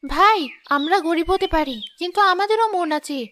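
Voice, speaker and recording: A poor village mother speaks up in a high, strained voice that is louder than her usual, as a woman puts her on. She is proud and reproachful with the man who wronged her daughter. It sounds like a storybook cartoon. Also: moderate pace, a little hiss or hum. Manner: reproachful, raised and pleading. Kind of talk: cartoon voice acting.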